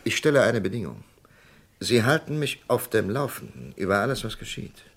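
A calm, authoritative FBI investigator. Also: male voice, fairly low pitch, normal speed, creaky, breathy edge, full character voice. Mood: firm. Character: shrewd male FBI investigator, firm and calm. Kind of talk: 1960s German radio play acting.

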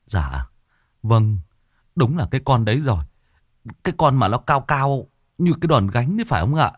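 A humble old village man, acted by a male storyteller, credulous and easily startled. He talks hesitantly with swooping pitch, as a figure in a spoken horror tale. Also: sing-song, normal speed, dry recording, studio quality. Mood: awed, deferential. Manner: hesitant, deferential, stammering.

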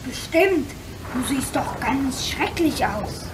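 A woman plays a kind, earnest young boy in a children's radio play. The voice is high and boyish. It comes from a little way off in a roomy space. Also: lively intonation, takes it slowly. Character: plucky, kind young boy, train driver's companion. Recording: roomy, distant, loud background noise.

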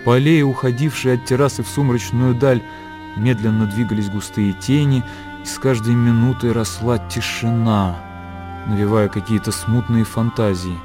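Music plays clearly behind a male narrator with a mid-pitched voice. He reads literary prose as the storyteller of a radio play. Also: steady tone, normal speed.

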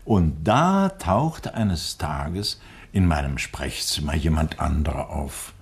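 An actor in a 1960s German radio crime drama playing a middle-aged doctor, composed, firm and shrewd. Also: male voice, extremely low pitch, expressive, creaky, slightly rough, full character voice. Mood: measured narrating. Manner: measured, firm, deliberate. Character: composed, authoritative male doctor, shrewd and upright.

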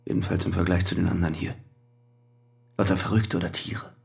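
An actor playing a weary, bitter prisoner in a radio drama. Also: male voice, low-pitched, level tone, a little fast, gravelly, darkish tone. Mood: weary, defensive. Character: imprisoned man, weary and bitter. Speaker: prisoner Carter.

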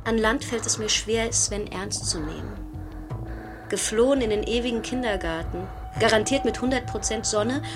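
A woman's voice: a little high, natural voice. A woman acting a troubled, fragile yet defiant actress in an intimate first-person radio drama. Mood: reflective. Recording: music behind the voice. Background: music.

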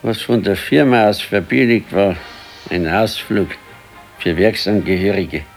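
An actor playing an elderly working-class husband with a Bavarian accent in a naturalistic radio play, curt, dry and grumbling. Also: male voice, lowish voice, a little slow, soft background music. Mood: reminiscing.

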